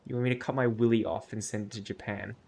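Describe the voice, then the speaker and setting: A man's voice: on the low side, steady tone, fast, gravelly, darkish tone. A man streaming a game, chatting casually in a sweary, argumentative, teasing way. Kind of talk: casual banter while livestreaming a game.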